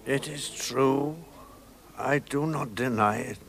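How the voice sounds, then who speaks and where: A man playing a great lion king in a fantasy drama, solemn, measured and commanding. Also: medium pitch, creaky, fairly bright tone, slightly rough, full character voice. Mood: grave. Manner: measured, grave, authoritative.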